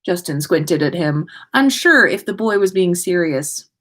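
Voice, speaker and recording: Slow-paced woman narrating a story. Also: fairly low pitch, lively intonation, fairly bright tone. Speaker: podcast host reading listener stories.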